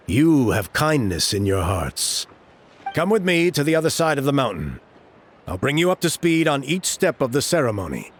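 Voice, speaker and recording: A man's voice: medium pitch, a little hiss or hum. A man voicing an exasperated tribesman who complains sarcastically about others, then coaxes the listeners into helping. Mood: persuasive. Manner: exasperated gossip, sarcastic mimicry.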